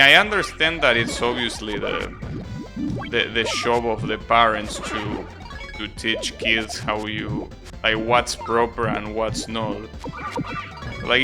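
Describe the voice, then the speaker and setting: Male game streamer, mid-pitched, unhurried, hesitant off-the-cuff commentary over prominent game music. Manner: hesitant, repeats words, swears casually. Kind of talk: casual live gameplay commentary. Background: video game music.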